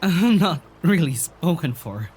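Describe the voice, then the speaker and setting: A woman's voice: fairly low pitch, sing-song, a little slow, dark tone, slightly rough, full character voice. A woman acting in an audio drama as a kindly magic-user, hesitant and nervously defensive.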